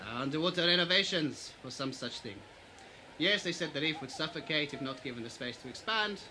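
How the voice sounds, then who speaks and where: A man's voice: on the high side, animated, brisk, background noise, close to an everyday voice. A man playing a jovial, boastful baron in an audio drama, effusive and bantering, with foreign-style broken phrasing. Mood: smooth, defensive. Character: jovial, boastful male baron running a beach paradise.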